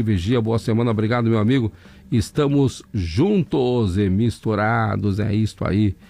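A man with a southern Brazilian accent hosting an overnight radio show, hoarse from laryngitis, chatting folksily as he reads out listener messages. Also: on the low side, a little mellow. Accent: southern Brazilian, Rio Grande do Sul. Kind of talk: casual radio chat reading listener messages. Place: radio studio.

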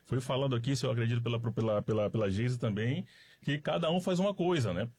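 A man's voice: medium pitch, fast, darkish tone, slightly rough. A man from Salvador with a Bahian accent, a guest explaining services in a repetitive, explanatory way. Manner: explanatory, repeats words, laughs lightly. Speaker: public lighting company guest.

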